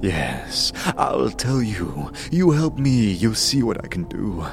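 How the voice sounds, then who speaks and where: A man playing a furtive, pleading cultist in an audio drama, urgent and repetitive, speaking in riddles and hushed whispers. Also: on the low side, sing-song, takes it slowly, gravelly, music in the background, exaggerated voice. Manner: urgent, repetitive, singsong rhyming.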